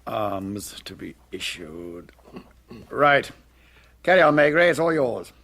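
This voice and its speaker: Gravelly senior police official. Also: male voice, on the low side, sing-song, slow pace, a bit bright, exaggerated voice. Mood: weary, curt. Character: weary senior police official, curt and authoritative. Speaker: actor in a radio drama. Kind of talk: British radio drama acting.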